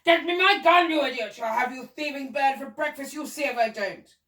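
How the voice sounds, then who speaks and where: A woman's voice: high voice, expressive, measured pace, voice pushed up. A woman voicing a pompous, easily enraged old Duke in a storybook reading. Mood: furious. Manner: bellowing, pompous, indignant upper-class exclamations. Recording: roomy echo, distant from the mic.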